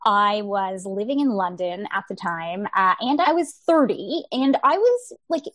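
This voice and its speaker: A woman's voice: mid-range voice, expressive, measured pace, a bit bright, no room sound, studio quality. A woman in her forties from Canada, an author telling her story in an interview in a friendly, articulate way. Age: 40s. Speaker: author guest. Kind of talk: interview answers.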